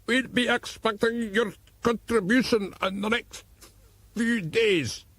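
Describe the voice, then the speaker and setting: A crank caller making a slow, menacing threat in a put-on Irish voice, as a male narrator does him. Each word is drawn out and the voice sits well above the reader's own. It sounds husky and dry. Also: high-pitched, a bit bright, no echo, pitched above the performer's own voice, exaggerated voice. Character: man faking an Irish threat on the phone.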